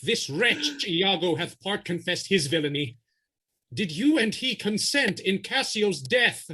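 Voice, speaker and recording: A man's voice: high-pitched, big pitch swings, a little slow, bright, forceful tone, husky, exaggerated voice. A man playing a stern, authoritative official in a Shakespearean audio drama, formal and commanding. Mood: indignant. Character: stern, authoritative Venetian male official passing judgment.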